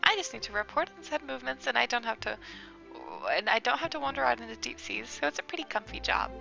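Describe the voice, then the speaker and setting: A woman's voice: fairly high pitch, fast, creaky, bright, forceful tone, faint music behind, heavily acted, poor audio. A woman voicing a cute, earnest female sea creature while reading game dialogue aloud. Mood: proud, content.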